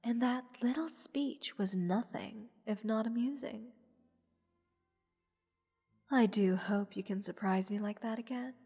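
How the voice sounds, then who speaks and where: A woman voicing a playful, haughty goddess in an intimate fantasy audio roleplay, teasing and condescending toward a captive mortal in measured, theatrical speech. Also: on the high side, mellow, slightly rough. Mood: indulgent, playful. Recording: long reverb with music behind. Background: orchestral music.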